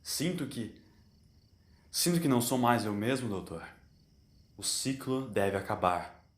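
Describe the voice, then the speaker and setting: A man's voice: mid-range voice, animated, a little slow, echoey room, close to an everyday voice. A man voicing a weary, bitter immortal alien in human form for an audio story, solemn and anguished.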